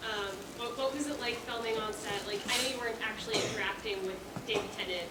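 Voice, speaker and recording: A woman's voice: mid-range voice, quick pace. A woman casually asking a question from the audience at a fan panel. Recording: distant, echoey, very noisy hall.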